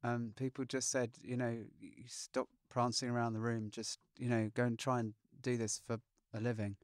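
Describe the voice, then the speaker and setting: A man with a mid-pitched voice talks in a halting, offhand way, gently putting himself down. He is an actor in a casual interview, recorded dry with no room sound. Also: little pitch movement, dry recording. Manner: self-deprecating, hesitant, offhand.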